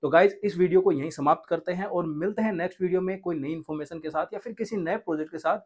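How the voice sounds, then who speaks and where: A man's voice: sits high, fast talker, darkish tone. A young man in his twenties hosting a real estate channel, talking in a casual, chatty way and dropping English phrases into his speech, recorded on a lapel mic in a studio. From India. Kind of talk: casual Hinglish property update. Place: indoor studio set with bookshelves.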